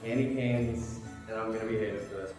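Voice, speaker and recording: A man's voice: fairly low pitch, fairly even intonation, a little fast, darkish tone. A man giving casual opinions, recorded far from the mic in a reverberant space. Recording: echoey hall, distant, faint music.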